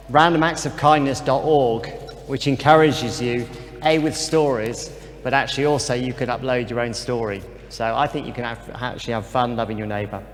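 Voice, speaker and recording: A man gives an informal, earnest talk about faith and kindness. He speaks at a middle pitch in a loose, unhurried way, often pausing on "um". His voice carries a long reverb from a big hall. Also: slight background noise. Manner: relaxed, many ums, earnest.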